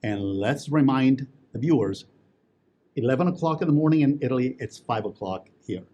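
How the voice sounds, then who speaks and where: A man's voice: medium pitch, animated, moderate pace, warm tone, slight background noise. A man talking as an interview guest, telling stories in a chatty way with many fillers and restarts. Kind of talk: casual interview conversation.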